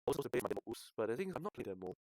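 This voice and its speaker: A man's voice: medium pitch, big pitch swings, very fast, darkish tone, no room sound, studio quality. A man commentating casually on a game livestream, swearing often and grumbling in exasperation. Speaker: streamer.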